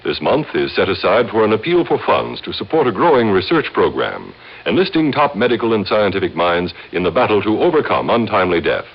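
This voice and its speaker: An American man announcing a scripted 1950s charity appeal in a solemn, measured, emphatic way. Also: low-pitched, slightly rough. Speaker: radio announcer. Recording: muffled vintage radio recording.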